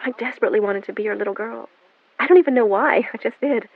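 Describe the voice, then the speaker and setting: A woman reading an audiobook voices an adopted young daughter, quiet and then insistent and demanding. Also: a little high, fast, no echo, voice pushed up. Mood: wistful. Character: adopted young adult daughter, cold and accusing. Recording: narrow phone-like band, dry.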